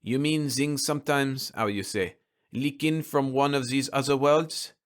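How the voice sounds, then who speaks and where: Man putting on a slow, halting foreign stranger. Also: mid-range voice, pitched above the performer's own voice, lightly acted. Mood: curious, probing. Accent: French with German cadence. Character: foreign male stranger, curious, questioning.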